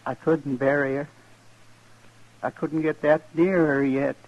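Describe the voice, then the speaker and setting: An American actor playing a dazed, grieving older homesteader in an old-time radio western, with plain, halting country speech. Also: male voice, on the high side, little pitch movement, muffled recording. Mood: grieving, ashamed.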